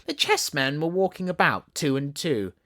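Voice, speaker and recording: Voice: medium pitch, wildly swooping pitch, moderate pace, a bit bright, no echo, clean studio sound. A narrator with a Southern English accent reading a children's storybook expressively. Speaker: audiobook narrator.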